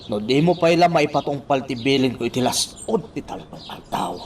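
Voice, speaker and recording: Radio drama: actor as menacing boss, commanding, threatening. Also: male voice, mid-range voice, lively intonation, moderate pace, darkish tone, slight background noise, lightly acted.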